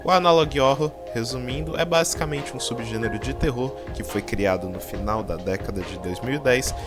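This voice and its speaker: A man with a Brazilian accent gives casual explainer commentary, informal and pausing for drama. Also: mid-range voice. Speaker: video creator and narrator. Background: background music.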